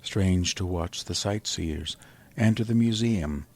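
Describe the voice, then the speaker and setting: A man acts as a sombre, educated survivor of an invasion in an old-time radio drama. His voice is deep, with a gravelly creak. He speaks in measured, literary phrases with great solemnity. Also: a little mellow. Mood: solemn, reflective.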